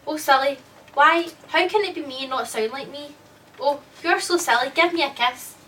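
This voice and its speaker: Woman playing a high, bright, composed sinister woman. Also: normal speed, recorded from a distance, slight background noise. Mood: sweet, teasing. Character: sinister dream-double woman, coolly taunting, falsely sweet. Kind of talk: radio drama acting.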